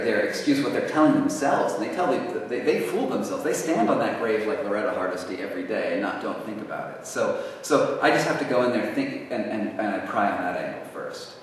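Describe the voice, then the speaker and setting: A man answering audience questions at a university talk, casual and halting, with many restarts. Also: lowish voice, animated. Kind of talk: lecture Q&A. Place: university lecture hall. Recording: echoey hall from far off, faint noise.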